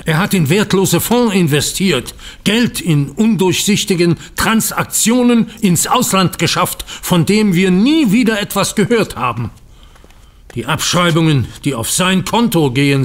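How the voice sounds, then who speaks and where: An actor playing an aggrieved, slyly vengeful older banker in an audio drama, speaking with sardonic, deliberate emphasis. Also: male voice, a little high. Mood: bitter, indignant. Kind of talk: German audio drama acting.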